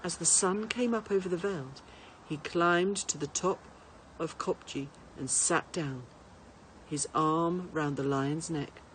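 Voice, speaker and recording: A woman's voice: sits low, slow. Woman reading a story, noisy recording. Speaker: teacher reading to pupils. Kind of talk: expressive storybook narration.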